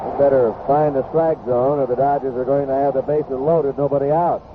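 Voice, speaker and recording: A man's voice: mid-range voice, steady tone, fairly quick. A man calling live baseball play-by-play as an announcer on an old radio broadcast. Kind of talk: live sports play-by-play commentary. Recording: noisy tinny vintage 1970s radio broadcast.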